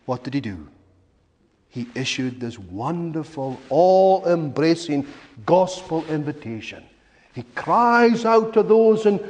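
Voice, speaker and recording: Slow, high-voiced male preacher in reverberant hall. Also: darkish tone. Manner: emphatic, rhetorical repetition with dramatic pauses. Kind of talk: sermon. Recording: long reverberant hall echo.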